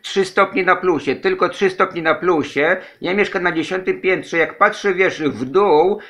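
A man's bright, pressing voice sits somewhat high. He is a radio amateur from Poland making a casual contact, chatty and repetitive, spelling out call signs as he goes. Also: measured pace.

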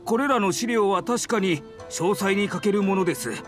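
An actor playing a courteous, earnest, apologetic editor in a Japanese anime game, very polite and deferential. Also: male voice, high-pitched, expressive, moderate pace, faint music behind. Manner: very polite, deferential business speech.

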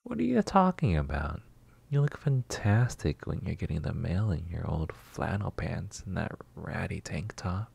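Man playing a husky, slow-talking flirty neighbor. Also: low voice, sing-song, darkish tone, exaggerated voice. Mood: flirty, teasing. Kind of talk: intimate roleplay ASMR.

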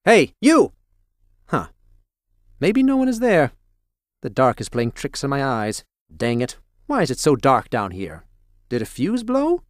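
Bumbling game scientist, inquisitive and cartoonish, pitch leaping all over, recorded dry. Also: male voice, wildly swooping pitch, measured pace, long pauses, dry recording. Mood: suspicious, puzzled. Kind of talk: cartoonish video game voice acting.

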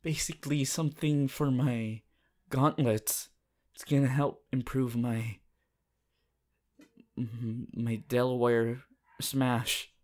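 A man playing a shy, devoted, easily flustered teenage boy hero in an intimate, halting romantic roleplay. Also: mid-range voice, steady tone, a little mellow, close to an everyday voice. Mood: sheepish, flustered. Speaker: voice actor. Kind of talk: romantic roleplay audio, intimate fan performance.

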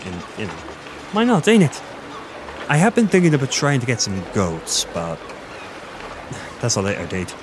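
A man's voice: medium pitch, wildly swooping pitch, normal speed, pauses often, background noise. A man with an Irish accent playing a friendly, flirty fantasy village innkeeper in a conversational audio roleplay, chatty and confiding. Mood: surprised, earnest. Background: village ambience with distant chickens.

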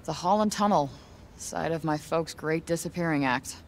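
A woman's voice: sits low, moderate pace, a little hiss or hum. An actor playing a wry, streetwise young American woman in a video game, muttering to herself with weary, self-mocking informality. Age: young adult. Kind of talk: video game character acting, musing to herself.

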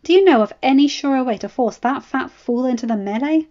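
A woman voicing a sharp, bold noblewoman with a blunt, self-assured manner. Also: high-pitched, fairly quick, lo-fi sound, pitched above the performer's own voice, natural voice. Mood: blunt, confident.

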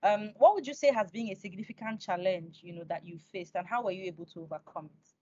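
A woman's voice: mid-range voice, fast, dark tone, slight background noise. A young woman hosting a conversational interview over a video call. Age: young adult. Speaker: interviewer, host. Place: indoors, close to the device, dry sound. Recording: dull lo-fi video-call audio.

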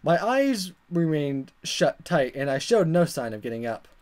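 A woman's voice: sits low, big pitch swings, measured pace, a little mellow. A woman hosting a let's play, reading dialogue aloud dramatically and commenting in a playful, exaggerated way. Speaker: gaming video host.

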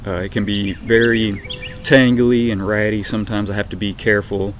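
A young man with a Southern accent giving a casual, relaxed update to camera from his backyard, with lots of ums and uhs. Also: fairly low pitch, moderate pace, a little hiss or hum. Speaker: vlogger. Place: backyard outdoors. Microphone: webcam at arm's length.

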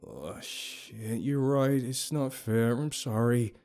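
A man's voice: medium pitch, slow, darkish tone, voice pushed up. A man voicing a teasing, informal young woman, a maid in an audio story, with a breezy manner. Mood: apologetic.

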